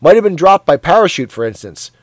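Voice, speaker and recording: A man doing a loud, cheery, blustering neighbor. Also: a little high, big pitch swings, a little fast, dry recording, pitched above the performer's own voice. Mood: boastful. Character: hearty, sweaty, zealous middle-aged man.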